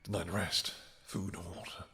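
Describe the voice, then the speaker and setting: Vampire king, male actor: very low pitch, devoted and guilt-ridden, formal and emotional delivery, long throne-room-style reverb, romantic audio drama. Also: little pitch movement, vocal fry, sharp tone, heavily acted. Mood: shocked, grave. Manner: halting, emotional, formal archaic endearments. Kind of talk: intimate romantic fantasy audio roleplay.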